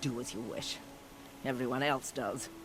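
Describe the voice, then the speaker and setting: Video game war chief, male actor: wounded, commanding, stubborn and bitter, mid-pitched, clear ambient noise behind. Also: normal speed, lightly acted. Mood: stern, bitter. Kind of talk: dramatic video game acting.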